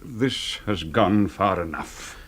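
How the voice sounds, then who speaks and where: Grave older man, low husky voice, deliberate, coldly courteous, bitter, radio thriller. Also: a bit bright, exaggerated voice. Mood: urgent, secretive. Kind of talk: suspense radio drama acting.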